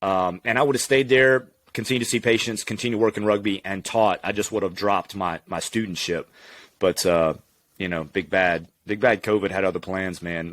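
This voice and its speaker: A man from Louisiana, maybe in his thirties, a physical therapist telling stories in a relaxed, candid podcast interview and laughing easily. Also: fairly low pitch. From Louisiana, USA. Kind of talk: casual conversational interview.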